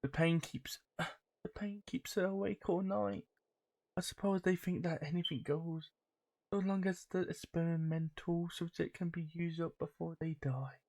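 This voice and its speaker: Weary, suffering diarist acted out by a woman, hushed and faltering dramatic reading of game text. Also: lowish voice, fairly even intonation, unhurried. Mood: weary, despairing. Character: failing, despairing diary writer, weary, suffering, losing her grip.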